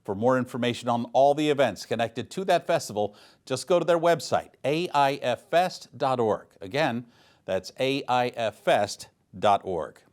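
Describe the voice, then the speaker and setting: A man's voice: medium pitch, lively intonation, moderate pace. A man reading scripted local news as an anchor.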